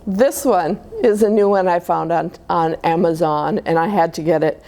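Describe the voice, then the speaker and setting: A woman's voice: fairly low pitch, moderate pace. A woman reading a picture book aloud to children, with asides to her listeners. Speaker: children's story time reader. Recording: noticeable steady background noise.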